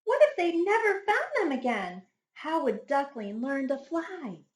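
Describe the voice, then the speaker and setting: A woman reading a children's picture book aloud like a schoolteacher, with dramatic, deliberate phrasing. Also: high-pitched, sing-song, a little hiss or hum. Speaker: first grade teacher reading a picture book. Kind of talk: expressive children's storybook read-aloud. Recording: echoey room sound.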